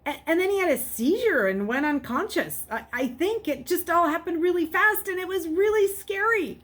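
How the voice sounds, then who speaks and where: A woman's voice: extremely high pitch, lively intonation, moderate pace, fairly bright tone, voice pushed up. A woman voicing a nervous, stammering boy in a storybook, flustered and hesitant as he bluffs. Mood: panicked, stammering. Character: nervous, stammering boy telling lies, easily panicked. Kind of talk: storybook character voice. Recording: clearly noisy background.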